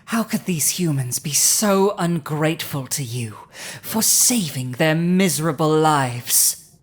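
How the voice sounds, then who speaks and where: A woman voicing a proud, domineering female dragon with an awkwardly tender side, in a dramatic ASMR roleplay, speaking deliberately with a husky, breathy voice. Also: deep voice, lively intonation, full character voice. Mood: intense, indignant. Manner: slow, deliberate, imperious, with long pauses.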